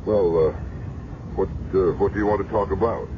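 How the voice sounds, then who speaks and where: A man's voice: low voice, fairly even intonation, normal speed, highs cut off, close to an everyday voice. An actor playing a wary, rattled man with a hesitant, stammering manner in a 1970s radio drama. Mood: startled, wary. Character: wary, rattled man receiving a blackmail call. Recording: thin, noisy old radio recording.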